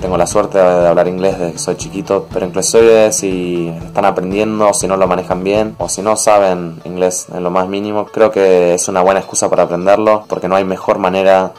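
A man's voice: sits low, level tone, brisk, hardly any pauses, a little mellow, faint music behind. A man, talking casually to camera about working abroad. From Argentina. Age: 20s. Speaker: working holiday traveler. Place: indoors, small room.

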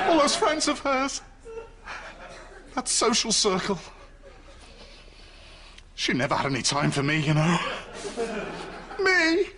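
A man's voice: extremely high pitch, heavily acted. A middle-aged man playing an earnest, fragile counsellor in a sitcom. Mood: wounded, tearful. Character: earnest male counsellor who turns tearful over his mother. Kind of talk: sitcom acting. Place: living-room studio set. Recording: studio audience noise behind.

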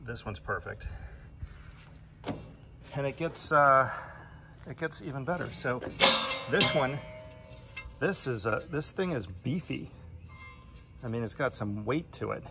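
A man's voice: medium pitch, animated, takes it slowly, creaky, long pauses, sharp tone, soft background music. A man giving a casual, plain-spoken product review, pointing out defects while filming with a handheld phone on an outdoor deck. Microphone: phone camera in hand.

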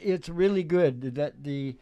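A man's voice: fairly high pitch, slow pace, dark tone, clean tone, lo-fi sound. An older man in casual, rambling, jokey stage banter as a music show host, speaking into a stage microphone. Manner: rambling, jokey, with frequent chuckles. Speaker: host of a music podcast and singer. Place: small curtained indoor stage. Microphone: stage microphone on a stand. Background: acoustic guitar.

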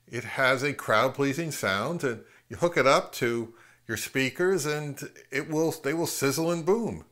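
A man's voice: medium pitch, animated, unhurried, fairly bright tone. A man, giving a conversational product review as an audio gear reviewer.

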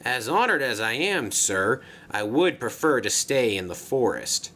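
A man's voice: medium pitch, sing-song, a little slow, pushed and bright, full character voice. A man voicing a polite, easygoing male tank engine who speaks in a courteous, dry way.

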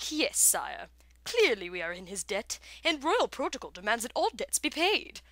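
A woman puts on a male hornbill who serves as royal steward in a cartoon. He is fussy and formal, with pompous phrasing and a bright tone. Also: a little high, sing-song, normal speed, no room sound, exaggerated voice. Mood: dryly deferential.

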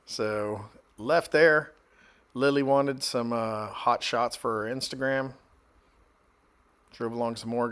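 An American man chatting casually and narrating a road trip vlog in a laid-back, joking, folksy way. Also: mid-range voice. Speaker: travel vlogger. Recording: noisy phone audio with vehicle and outdoor noise.

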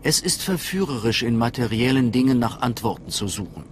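An actor voicing a calm, sceptical scholarly steward of a cult in a video game, earnest and persuasive. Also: male voice, mid-range voice, a little fast, slight background noise, close to an everyday voice. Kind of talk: dramatic video game dialogue. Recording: faint music behind voice.

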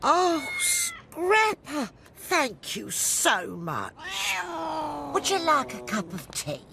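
A woman voicing a bossy, doting, exclamatory old landlady with a British accent in an animated cartoon. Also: on the high side, slow, creaky, over a noisy crowd, exaggerated voice. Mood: gushing. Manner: exclamatory, fussy.

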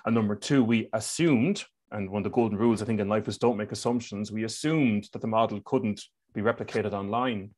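A man's voice: on the low side, brisk, few pauses, darkish tone. Irish man explaining at a panel. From Ireland. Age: middle-aged. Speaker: education leader, webinar panelist. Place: indoors on a video call. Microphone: headset.

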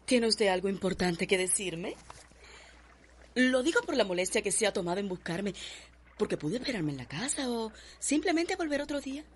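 Proud, cool, restless young heiress played by a Cuban woman for radio drama; medium pitch, measured. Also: lively intonation, slight background noise, lightly acted. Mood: cool, challenging.

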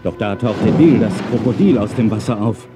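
A man's voice: fairly low pitch, moderate pace. A man narrating a children's audio drama in a dramatic storytelling manner. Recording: music behind the voice. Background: music.

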